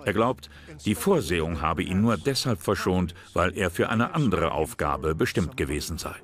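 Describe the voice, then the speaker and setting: A man giving calm, measured scripted narration for a history documentary. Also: low-pitched. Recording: voice-over with music bed.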